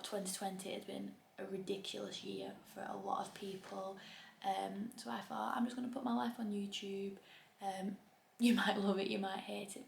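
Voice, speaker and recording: A woman's voice: medium pitch, vocal fry, roomy sound, a little hiss or hum. A woman in her twenties with a Bolton accent, chatting casually to camera in a vlog, full of ums and self-deprecating asides. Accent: Bolton, Greater Manchester. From England. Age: 20s. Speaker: YouTuber.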